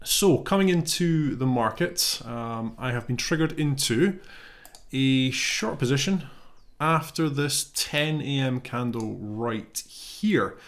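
A man in his thirties with a slight cold, explaining a trade in a relaxed, thinking-aloud way from his home office webcam. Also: medium pitch, takes it slowly. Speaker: trading educator. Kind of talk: casual explanatory live-trade walkthrough.

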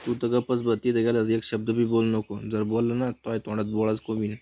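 A man's voice: fairly low pitch, completely flat delivery, a little fast, mellow, a little hiss or hum, close to an everyday voice. A man voicing a short-tempered bald village father in a cartoon, scolding and threatening in a Vidarbha Marathi accent.

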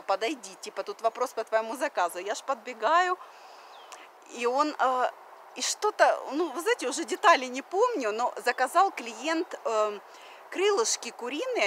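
A woman's voice: on the high side, fairly bright tone. A woman from Russia in her thirties, talking casually to her phone camera outdoors by a river, with many fillers and bits of English mixed in. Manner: chatty, many fillers, mixes in English phrases. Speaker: vlogger. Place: outdoors on a wooden deck by a river. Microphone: phone camera at arm's length. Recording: outdoor phone recording, faint background noise.

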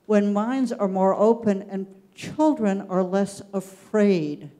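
A woman speaking in a measured, earnest way, making an audience comment at a panel discussion. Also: mid-range voice, mellow. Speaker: audience member, education committee chair. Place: large theatre hall.

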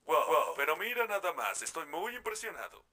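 A man voicing an irritable, bossy, sardonic animatronic character in a Latin American animated fan dub. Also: a little high, normal speed, heavy background noise. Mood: irritated. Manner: snappish, sardonic.

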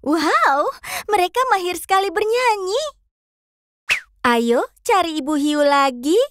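A sing-song cartoon fox guide. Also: female voice, very high-pitched, lively intonation, slow, noisy background, heavily acted. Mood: cheerful. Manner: sing-song, coaxing, addresses the kids. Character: bright cheerful pink fox guide. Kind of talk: children's cartoon voice acting.

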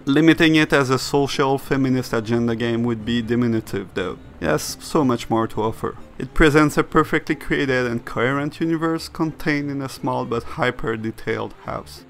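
A man's voice: mid-range voice, moderate pace, few pauses. A man reading a scripted video essay that reviews games, in the role of narrator. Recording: music bed behind the voice. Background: music.

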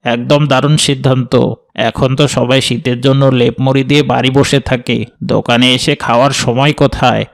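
Man as a gravelly, encouraging cartoon uncle. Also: medium pitch, flat delivery, moderate pace, close to an everyday voice. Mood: approving. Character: friendly, encouraging older regular customer, a neighbourhood uncle. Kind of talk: Bengali animated moral story dialogue.

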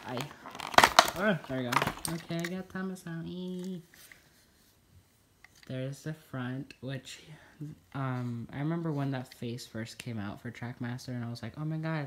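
A young man with a stuffy, congested nose talks his way through a toy unboxing at a table at home. His chatter is loose and rambling, full of ums and side remarks, at a middling pitch. Crinkling packaging and clicks sit clearly behind his voice on the phone recording. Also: slight background noise. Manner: chatty, rambling, many fillers. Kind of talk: casual unboxing commentary. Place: indoors at a table in a home room.

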